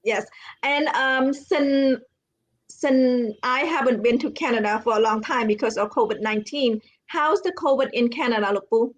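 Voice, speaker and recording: Host, woman, somewhat high pitch, chatty, informal. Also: moderate pace, poor audio. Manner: friendly, loose phrasing with fillers. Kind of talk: casual interview hosting.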